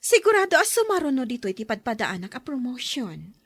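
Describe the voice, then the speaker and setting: Woman playing a high-voiced Filipino working mother. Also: sing-song, fairly quick, breathy edge, no echo, exaggerated voice. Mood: pleased, busy. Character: busy career-minded young mother and devoted wife. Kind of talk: radio drama acting.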